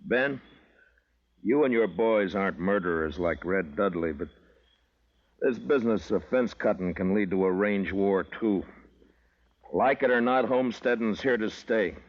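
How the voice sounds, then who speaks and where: An actor playing a stern, weary Old West marshal in a terse 1950s radio western style. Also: male voice, fairly low pitch, measured pace. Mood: firm, measured. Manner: terse, laconic. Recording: muffled old radio recording.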